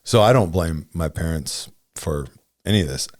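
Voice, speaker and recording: A man's voice: very deep voice, expressive, moderate pace, darkish tone, no echo, studio quality. A man in his forties co-hosting a casual podcast, thinking aloud and often checking in with "right?" and "you know". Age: 40s. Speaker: podcast co-host.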